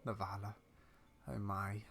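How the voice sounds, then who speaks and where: A man's voice: on the low side, level tone, vocal fry, fairly bright tone, slight background noise, voice pushed down. Man putting on a grand old witch granny. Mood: alarmed. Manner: measured, mysterious storytelling with fond, old-fashioned turns of phrase. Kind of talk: game dialogue read aloud in character.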